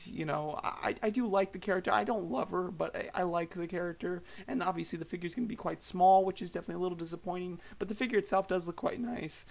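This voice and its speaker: A slightly hoarse man giving casual, rambling voice-over thoughts on collectible figures, with many fillers and restarts. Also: high-pitched. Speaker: toy collector vlogger.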